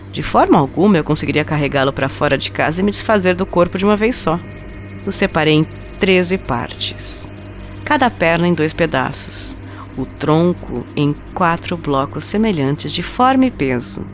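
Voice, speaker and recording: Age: adult. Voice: low-pitched obsessive woman scientist, acted by a woman, over music. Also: expressive, normal speed. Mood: cold, clinical. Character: obsessive, coldly rational woman scientist confessing to murder. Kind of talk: dramatized first-person audio story narration.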